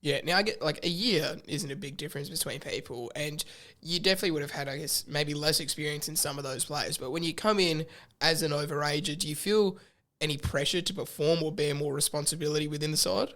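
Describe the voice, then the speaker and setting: A man's voice: a little high, fairly even intonation, fairly quick, a bit bright. A man hosting a podcast interview, easygoing and joking, asking questions and throwing in short agreeing replies. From Australia. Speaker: podcast host. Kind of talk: casual interview.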